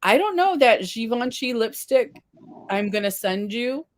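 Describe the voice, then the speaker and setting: A woman's voice: fairly high pitch, animated, a little slow. A woman hosting a casual livestream, chatting and reading viewer comments aloud. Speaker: livestream face paint host.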